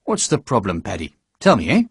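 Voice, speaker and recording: A man's voice: on the low side, wildly swooping pitch, a little fast, husky, no room sound, studio quality, voice pushed down, exaggerated voice. A man doing a hearty, coaxing sergeant. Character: genial, coaxing army recruiting sergeant.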